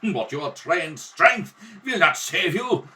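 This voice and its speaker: Man acting a raving, high-pitched mad scientist. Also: very animated, slow, sharp tone, recorded from a distance, exaggerated voice. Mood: manic, triumphant. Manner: maniacal cackling, ranting, grandiose. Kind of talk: old-time radio serial acting.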